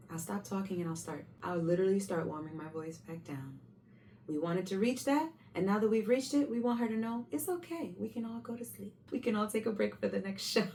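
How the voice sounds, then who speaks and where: Young woman rambling through her post-show routine, low mellow voice, bit far from the mic, faint hum. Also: gravelly. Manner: chatty, rambling, self-correcting. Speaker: Broadway performer sharing tips. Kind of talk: casual vlog talk to camera.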